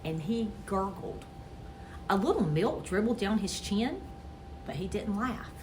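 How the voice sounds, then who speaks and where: A woman reads a children's book aloud with theatrical flair. Her mid-pitched voice swings widely as she tells the story, over a faint rumbling noise. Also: a little slow. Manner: expressive, theatrical with character voices. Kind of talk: animated dramatic read-aloud.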